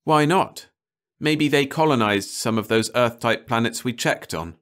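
A man voicing a calm, pragmatic male leader on a starship, who speaks firmly and persuasively. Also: mid-range voice, measured pace, voice pushed up, lightly acted. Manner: firm, persuasive.

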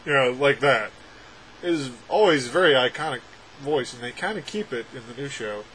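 A young adult man reviewing a film from a room at home, talking sarcastically with animated, exaggerated outbursts. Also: medium pitch, brisk, slight background noise, rough recording. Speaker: movie reviewer. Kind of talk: casual ranting film review. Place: home room with packed shelves, indoors. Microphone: webcam framing at desk.

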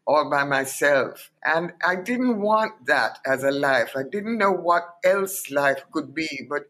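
A Jamaican woman in her eighties, a guest telling her life story in an animated, emphatic way and repeating points for weight. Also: low-pitched, takes it slowly. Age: 80s. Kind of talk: reflective interview storytelling.

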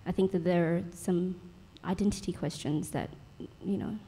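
A young woman with an Australian accent, a guest writer on a stage panel, speaking in a measured, thoughtful way. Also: fairly low pitch, steady tone, mellow, big-room sound. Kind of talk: panel discussion. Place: stage of a small indoor venue.

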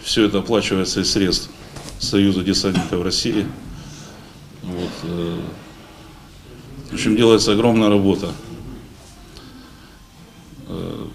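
Man, forties, low voice, hesitant public speech, frequent pauses, noisy hall. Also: fairly even intonation, creaky. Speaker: paratrooper unit member addressing a meeting. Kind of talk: halting informal public speech. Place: meeting hall at a lectern. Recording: noisy room recording.

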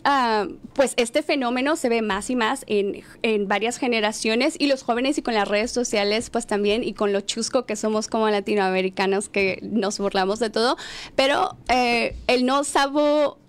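A woman's voice: fairly high pitch, normal speed. A woman raised in the United States, talking as a guest in a casual panel discussion, laughing often and restarting her sentences.

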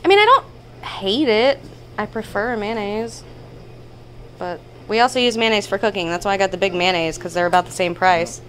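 A woman's voice: medium pitch, animated, normal speed, a bit bright, slight background noise. A woman in her thirties hosting a vlog, talking casually and conversationally as she lists things in a home kitchen. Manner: informal, conversational, listing items. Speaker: vlog host. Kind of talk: casual chatty grocery haul narration.

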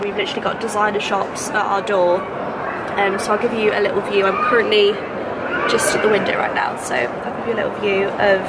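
A woman's voice: mid-range voice, very noisy. Stuffy-nosed woman vlogging. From England. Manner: chatty, rambling, self-correcting. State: congested, nasal from a cold. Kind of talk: casual chat to camera. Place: apartment room by a window. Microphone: phone held at arm's length.

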